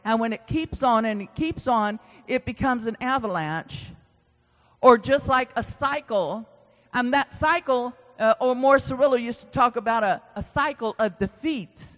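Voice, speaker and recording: High-voiced preacher man in a reverberant hall. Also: normal speed, fairly bright tone. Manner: conversational, emphatic repetition, folksy asides. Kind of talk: informal sermon with scripture reading.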